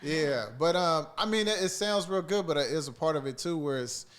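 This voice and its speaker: A man's voice: fairly high pitch, brisk, over a noisy crowd. Laid-back man in his thirties. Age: 30s. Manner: relaxed, loose slang, lots of "you know what I mean". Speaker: podcast co-host. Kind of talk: casual chat.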